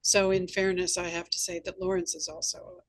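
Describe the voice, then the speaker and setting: A woman's voice: lowish voice, flat delivery, normal speed, rough recording. A woman hosting a conversational interview, chatting and sharing anecdotes. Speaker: interview host and novelist.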